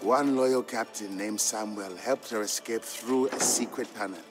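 A man plays a patient merchant father from Ethiopia, narrating a game's storybook legend. His voice is on the low side and he tells it fondly, in measured phrases. Music plays clearly behind him. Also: close to an everyday voice. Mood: reflective, storytelling. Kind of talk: scripted storybook narration in a game. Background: background music.

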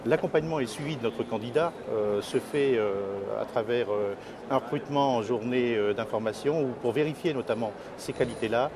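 A man in his fifties giving hesitant, measured interview answers as a company executive. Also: medium pitch, a crowd in the background. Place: trade fair interview stand, indoors. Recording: clearly noisy exhibition hall ambience.

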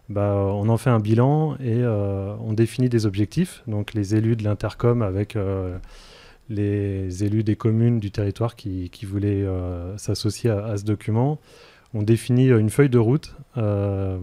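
Low, mellow man explaining haltingly. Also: dry recording. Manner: hesitant, halting, frequent fillers. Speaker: interview guest, energy transition project officer. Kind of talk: explanatory radio interview answers. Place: radio studio, indoors. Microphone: close studio microphone.

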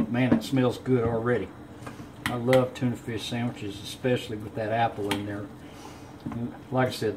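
Male home cook, unhurried folksy delivery, mid-range voice, clearly noisy kitchen sound. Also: mid-range voice. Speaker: home cook presenting a recipe. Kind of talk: casual cooking demonstration. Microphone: camera on counter a short way off. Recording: clear room noise in a kitchen.

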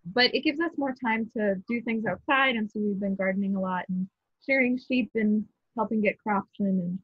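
A young woman speaking as a guest on a video call, relaxed and matter-of-fact. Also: mid-range voice, moderate pace, husky, dry recording. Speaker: guest, shop co-owner. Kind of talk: casual video call interview. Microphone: shared video call. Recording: tinny phone-like call audio.

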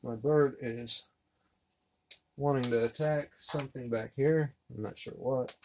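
A man in his thirties giving a relaxed, rambling unboxing commentary while handling discs at his desk. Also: medium pitch, a little slow. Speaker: collector unboxing a disc set. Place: collectibles room indoors. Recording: noisy home recording.

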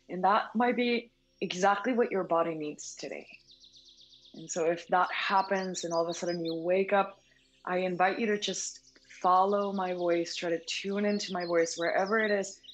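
Female meditation guide, lower register, gentle and unhurried, soft music bed underneath. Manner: gentle, soothing, unhurried with long pauses. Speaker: yoga nidra meditation instructor. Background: background music.

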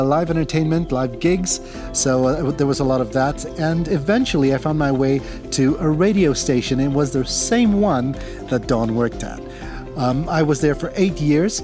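A man's voice: medium pitch, normal speed. A man in his thirties, born in Seychelles and raised in Kenya, co-founder of a company, talking easily to camera outdoors in a garden. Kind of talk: casual presentation to camera. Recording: music playing behind.

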